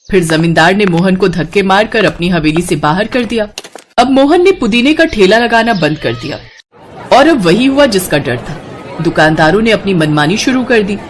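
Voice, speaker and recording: A woman reads a moral tale as its narrator. Her medium-pitched voice moves with very lively intonation, over faint background noise. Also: moderate pace, a faint crowd. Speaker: story narrator. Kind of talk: expressive scripted storybook narration.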